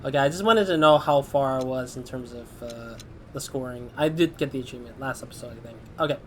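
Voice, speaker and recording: A man's voice: mid-range voice, fast, slight background noise. A man doing chatty let's play commentary over a game. He sounds a little stuffy from a cold and rambles. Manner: chatty, rambling, laughs often. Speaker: gaming YouTuber. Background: game music.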